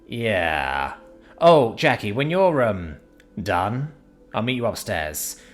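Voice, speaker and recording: A friendly, eager young man who stumbles a little over his words, read by a man. His voice jumps up and down in pitch. Soft music plays faintly behind. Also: very animated, takes it slowly.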